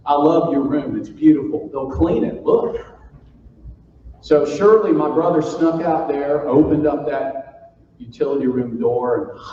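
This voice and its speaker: A man's voice: medium pitch, mellow. A man from Florida tells a folksy, joking story from a conference stage. He sounds distant, with a long echo from the large hall. The sound is somewhat muffled, with faint noise behind him. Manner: folksy, jokey, playful with the audience. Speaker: keynote speaker. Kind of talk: humorous keynote storytelling to a live audience.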